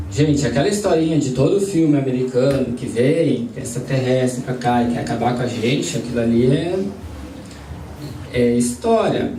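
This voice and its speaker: A man's voice: fairly high pitch, warm tone. Man leading a group study. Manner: conversational, asks the audience questions. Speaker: spiritist study group leader. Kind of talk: informal group lesson with questions to audience. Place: study centre meeting room. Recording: roomy, distant mic, faint background noise.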